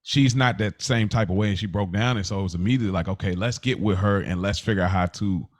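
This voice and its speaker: A man's voice: lowish voice, fast. A man co-hosting a podcast in casual personal chat, talking colloquially and emphatically, repeating phrases as he reflects with empathy. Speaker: podcast co-host.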